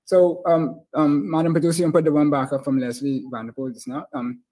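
A man's voice: a little high, fairly even intonation, brisk, mellow, rough recording. A middle-aged man with a Bahamian accent hosting a casual interview in loose, rambling phrases. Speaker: talk show host. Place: indoor room with bookshelves and screen, on a video call. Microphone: video call.

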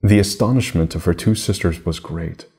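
A man in his thirties reading a fairy tale aloud as storybook narration, in a measured, deliberate way with formal diction. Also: deep voice, a little mellow, slightly rough.

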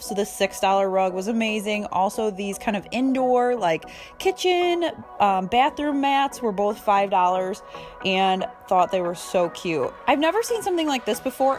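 Gushy enthusiastic woman in her 30s doing a casual haul vlog, music behind her. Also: a little high. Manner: enthusiastic, gushing. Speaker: home decor vlogger. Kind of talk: casual shopping haul chat.

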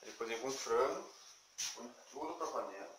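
A man's voice: medium pitch, lively intonation, normal speed, heavy background noise. A young man from Brazil filming a casual cooking video in a small home kitchen, talking plainly and a bit shyly into a phone camera. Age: young adult. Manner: plain, unpolished, a bit shy. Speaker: home cook vlogger. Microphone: phone camera, arm's length and set on the counter.

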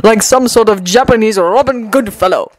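A woman's voice: on the high side, normal speed, no room sound, lightly acted. A woman voicing a haughty, bookish teenage boy who speaks in a pompous, theatrical, disdainful manner.

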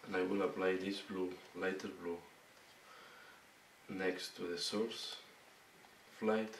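A man with a hoarse, fading voice giving a step-by-step painting tutorial in halting, broken phrases. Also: sits low, steady tone. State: hoarse, losing his voice. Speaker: miniature painting instructor. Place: indoor hobby painting desk. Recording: roomy, distant mic with faint noise.

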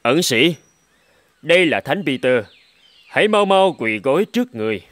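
A man as a proud cartoon angel, lively pitch. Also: moderate pace. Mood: formal. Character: proud, dutiful winged male angel guarding heaven's gate. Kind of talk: cartoon fairy-tale dubbing.

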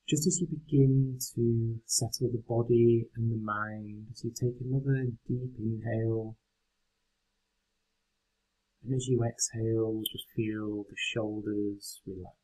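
A man guides a meditation with gentle, unhurried instruction. His voice is mellow and relaxed, with a husky, breathy edge and an average male pitch. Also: poor audio.

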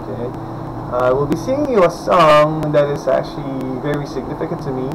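A young man from the Philippines talks straight to his webcam in a small room at home, his voice hoarse because he just woke up. He rambles in a friendly way, with lots of fillers and restarts, and his voice sits a little high for a man. A steady air-conditioner hum is clearly heard behind him. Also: a little fast. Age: young adult. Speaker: vlogger. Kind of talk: casual vlog talk to camera. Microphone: webcam at desk distance.

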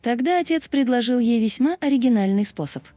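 A woman's voice: a little high, animated, brisk, background noise. A woman reading a scripted explainer narration as a narrator. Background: background music.

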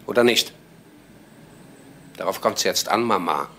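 An actor playing a suspicious, insistent son in a radio crime play, husky and pressing with halting questions. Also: male voice, lowish voice, lively intonation, a bit bright, slight background noise. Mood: urgent. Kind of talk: German radio crime drama acting.

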